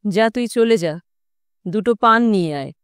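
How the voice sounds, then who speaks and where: Female reader as worldly-wise male tantric storyteller, reflective, mid pitch, dry audiobook sound. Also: expressive, unhurried, clean tone, no room sound, lightly acted. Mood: hospitable, bossy. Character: male tantric occultist recounting his wandering youth.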